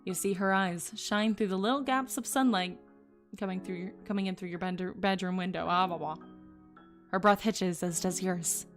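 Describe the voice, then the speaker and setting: A woman streamer reading a visual novel aloud and commenting on it, excitable and gushing, often giggling. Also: on the low side, moderate pace, soft background music. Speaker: VTuber streamer.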